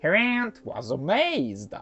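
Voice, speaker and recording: A woman's voice: lowish voice, huge pitch swings, takes it slowly, a bit bright, slightly rough, muffled recording. A woman storyteller narrating a children's folktale expressively.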